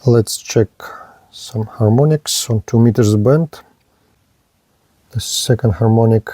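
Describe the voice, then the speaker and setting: A man's voice: mid-range voice, warm tone, no room sound. A man narrating a hands-on radio equipment test as a reviewer, speaking in short clipped phrases. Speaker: product reviewer.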